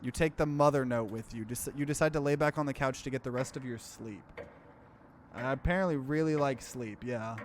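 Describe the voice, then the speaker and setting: A man doing a let's play, reading game text aloud and breaking in with animated, bewildered reactions. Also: mid-range voice, a little fast, slight background noise.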